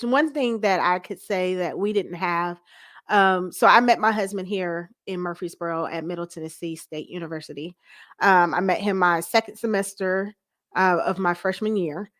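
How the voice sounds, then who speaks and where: A woman's voice: medium pitch, dry recording. American woman chatting candidly, dry close sound. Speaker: guest, pastor's wife. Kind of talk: casual interview chat.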